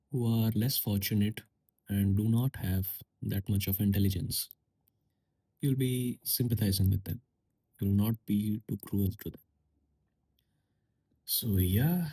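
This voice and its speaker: A man hosting a solo podcast, speaking in a musing, unhurried way with pauses and fillers; English is not his first language. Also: on the low side, a little mellow, slightly rough. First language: a language other than English and Hindi. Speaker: podcast host. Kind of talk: reflective solo podcast monologue.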